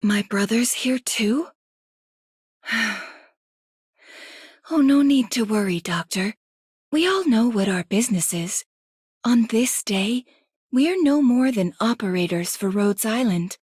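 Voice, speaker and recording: A reserved, businesslike game operative. Her voice is fairly high with a husky edge, and she speaks slowly and with measured calm. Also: no echo, clean studio sound, full character voice. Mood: calm, guarded. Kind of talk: video game character voice lines.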